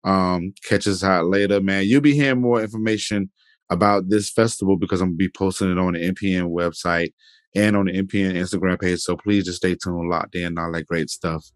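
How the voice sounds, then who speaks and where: A man's voice: sits low, fairly even intonation, fairly quick, no room sound, clean studio sound. A man hosting a podcast interview, talking loosely with lots of fillers and restarts. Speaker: podcast host. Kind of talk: casual interview chat.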